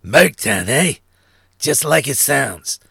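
Man doing a sly, gravelly underworld fence. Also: mid-range voice, sing-song, takes it slowly, pushed and bright, no room sound, studio quality, heavily acted. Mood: chummy, sly. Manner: streetwise gangster slang, chummy and self-assured. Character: short, thin, sly male underworld fence and information broker. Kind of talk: acted character dialogue in a narrated story.

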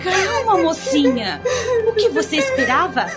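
A patient, gently scolding nurse in a radio drama. Her high voice is soothing and instructive, heard on muffled audio. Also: measured pace, over loud music, heavily acted. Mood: firmly calming. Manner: calming, instructive. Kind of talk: radio soap acting. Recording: dull lo-fi audio.

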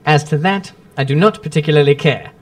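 An actor playing a shrewd, imperturbable gentleman detective in a radio drama, speaking drily and urbanely with small hesitant murmurs. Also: on the high side, brisk, close to an everyday voice. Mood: coolly defiant. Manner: urbane, dry, hesitant interjections.

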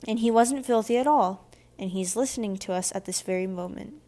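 A woman voicing a shy, soft-spoken but loyal eleven-year-old girl in a children's audiobook, plain and quiet in manner. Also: medium pitch, fast, lightly acted. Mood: defensive. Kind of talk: children's audiobook character dialogue.

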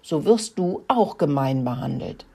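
A woman's voice: sits low, big pitch swings, unhurried, gravelly, breathy edge, heavily acted. A woman voicing a stern, exasperated farm girl in a children's story. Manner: scolding, shouting.

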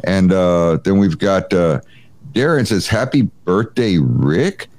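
A man's voice: deep voice, lively intonation, takes it slowly, darkish tone, dry recording. A man hosting a live stream, reading viewer comments aloud in a jokey, chatty way with lots of fillers. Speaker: host.

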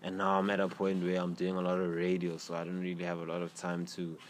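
Deep-voiced South African man chatting. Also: fast talker. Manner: relaxed, rambling, slang-heavy. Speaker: podcast host. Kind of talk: casual interview chat. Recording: faint background noise.

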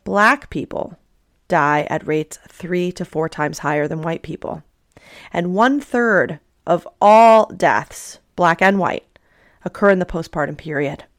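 A woman hosting a podcast, chatty and upbeat, clearing her throat and sniffling a little after an illness. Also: low-pitched. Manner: upbeat, chatty, self-deprecating. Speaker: podcast host. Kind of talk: podcast interview and intro.

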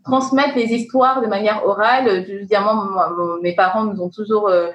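A woman hosting an interview, asking questions slowly, with many pauses and fillers as she searches for her words. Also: mid-range voice, steady tone, lo-fi sound. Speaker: interview host. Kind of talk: conversational interview. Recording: roomy sound with faint music.

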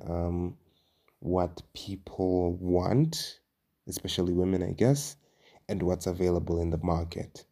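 A man's voice: deep voice, warm tone. A young man hosting a podcast, talking through a casual monologue in a hesitant, informal, rambling way. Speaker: podcast host.

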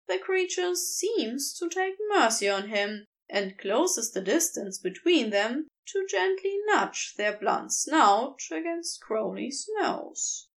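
A woman reading a fan story aloud as narrator, in an expressive, unhurried manner. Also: fairly high pitch. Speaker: podfic reader.

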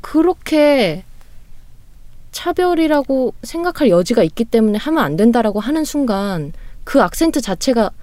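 A woman co-hosting a casual talk podcast, reflecting slowly and deliberately, often hedging her points. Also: on the high side, sing-song, darkish tone, dry recording. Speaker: podcast co-host. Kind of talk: casual chat.

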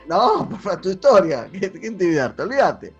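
A man with a Rioplatense Spanish accent hosting a business podcast interview, talking in an excited, emphatic way. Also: high-pitched, husky, dull audio, faint music behind. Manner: excited, emphatic, rapid asides. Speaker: podcast host.

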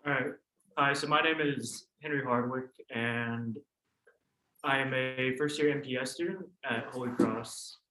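A man's voice: medium pitch, a little slow, sharp tone. An American man in his twenties, a student guest telling his personal story in a reflective way. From Oklahoma, United States. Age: 20s. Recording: roomy echo, audible background noise.

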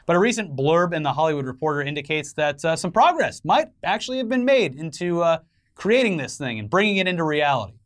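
A man's voice: a little high, big pitch swings, fast talker. A man co-hosting an entertainment news show, giving conversational commentary with wry, joking asides.

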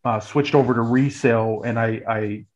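A man's voice: mid-range voice, fairly even intonation, moderate pace, darkish tone, muffled recording. An American man in his early forties telling his story in a casual, conversational way, often restarting and repeating phrases mid-thought. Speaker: guest, real estate agent.

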